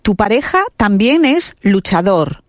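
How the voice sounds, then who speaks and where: Woman interviewer, mid-pitched, dry close sound, chatty leading questions. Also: very animated, unhurried, dry recording.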